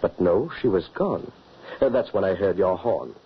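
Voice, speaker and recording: A man's voice: low voice, expressive, normal speed, slightly rough, exaggerated voice. An actor playing a hospitable, rugged huntsman father in an old-time radio horror drama, with an earnest, dramatic way of telling things. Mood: earnest recounting. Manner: earnest, dramatic storytelling delivery. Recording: muffled old radio recording.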